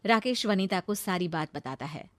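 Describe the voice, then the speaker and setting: A woman's voice: fairly low pitch, lively intonation, normal speed, fairly bright tone, dry recording, clean studio sound. A woman narrating a scripted, dramatised story as its storyteller.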